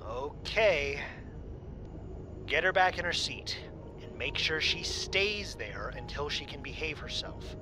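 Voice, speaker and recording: A woman's voice: low voice, expressive, takes it slowly, music in the background. A woman playing a commanding spaceship crew member under stress in an audio drama, speaking urgently. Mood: grim, subdued.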